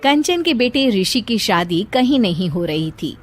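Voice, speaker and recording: A woman's voice: mid-range voice, very animated, measured pace, over loud music. A woman narrating a cartoon family story in a scripted storytelling style.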